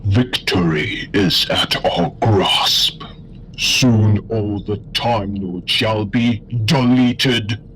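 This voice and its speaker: Low, robotic Cyberman general. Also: drawn-out words, a bit bright, slight background noise, poor audio. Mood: stiff, confident. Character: obedient, boastful Cyberman war general, emotionless machine soldier. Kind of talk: sci-fi audio drama acting.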